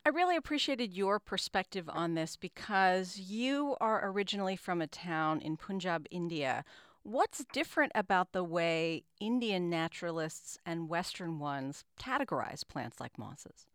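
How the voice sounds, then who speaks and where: A woman's voice: fairly low pitch, lively intonation, measured pace, a bit bright, clear, resonant tone, no room sound, clean studio sound. A woman interviewer who asks engaged, appreciative questions.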